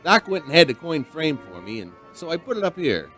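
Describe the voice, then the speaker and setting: A man's voice: on the high side, big pitch swings, fairly quick, pushed and bright, soft background music. An actor playing an earnest, sentimental male bartender who tells a strange tale in a dramatic radio play style. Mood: reflective. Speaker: bartender and storyteller. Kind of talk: radio drama acting and narration.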